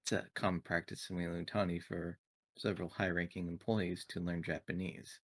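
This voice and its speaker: A man playing a formal, deferential servant woman in a tabletop game, speaking politely and with measured words. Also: low voice, level tone, no room sound, studio quality.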